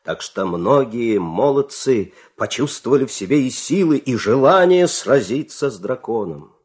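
A man narrating a fairy tale in a measured, expressive, theatrical storytelling style. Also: medium pitch, breathy edge. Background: music.

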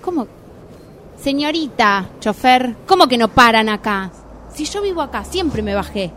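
A woman's voice: fairly high pitch, very animated, unhurried, fairly bright tone. A woman playing an insistent, exasperated bus passenger pleading to get off in an amateur radio drama. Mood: indignant, insistent. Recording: noisy amateur recording with vehicle ambience. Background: bus and vehicle ambience.

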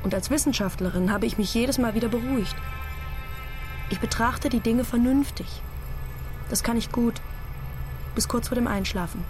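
Actress doing guilty brainy scientist lady, reflective radio play monologue, highish voice, music under it. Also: normal speed, long pauses, close to an everyday voice. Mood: reflective, troubled. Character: guilt-ridden rational woman scientist, reflective.

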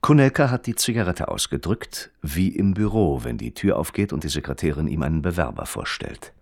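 A male radio play narrator with a very low voice. He is detached and observant, measured and precise, yet his intonation moves widely through the scripted prose. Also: big pitch swings, vocal fry, darkish tone, heavily acted. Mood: detached, matter-of-fact.

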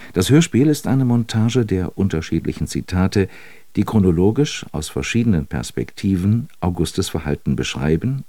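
A man's voice: low-pitched, dark tone, no room sound. A man with a standard German accent giving a composed, explanatory scripted introduction as a radio announcer. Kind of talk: scripted narration.

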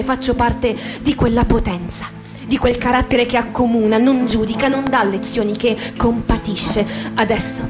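A woman with a mid-pitched voice performs a literary monologue for radio theatre. Her delivery is intimate and poetic, shaped by deliberate pauses. Music plays clearly behind her words. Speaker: stage actress reading on radio theatre. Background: music with guitar and sound design.

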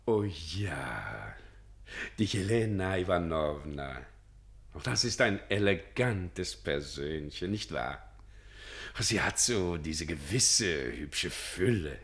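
A man's voice: sits low, big pitch swings, takes it slowly, a bit bright, exaggerated voice. An actor in a German radio play playing a self-important, evasive senior civil servant who dithers officiously and draws out his phrases. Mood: lecherous, savouring.

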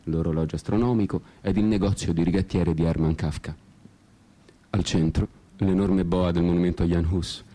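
Man, very low pitch, dark mellow tone, theatrical storyteller, ironic and brooding, literary monologue, faint guitar backing. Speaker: storytelling narrator in a radio theatre piece. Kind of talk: dramatic literary monologue over music. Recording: guitar music behind the voice. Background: guitar music.

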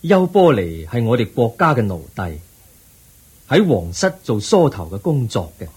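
The Buddha, husky and calm. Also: male voice, mid-range voice, normal speed. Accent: Hong Kong Cantonese. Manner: gentle, patient counseling. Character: the Buddha, calm wise male teacher. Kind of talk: radio drama acting.

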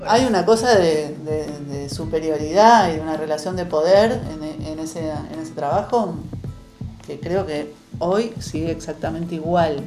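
A woman's voice: low-pitched, unhurried. A woman with an Argentine accent in casual, reflective conversation, hesitant and searching for words. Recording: echoey room, music behind.

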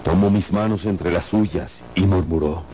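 An actor playing a weary, troubled riverboat captain, telling his journey gravely and theatrically as a radio drama narrator. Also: sits low, unhurried, background noise. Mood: haunted, somber.

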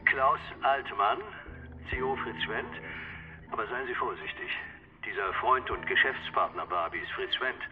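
An actor playing a cautious informant passing on intelligence deliberately and confidentially in a radio docudrama, with a dull filtered sound. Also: male voice, medium pitch, lively intonation, over music, natural voice. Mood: cautioning.

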